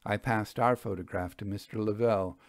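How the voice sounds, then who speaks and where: A man's voice: fairly low pitch, steady tone, fairly quick, no room sound, clean studio sound. A man reading scripted narration for a period mystery story as an audiobook narrator.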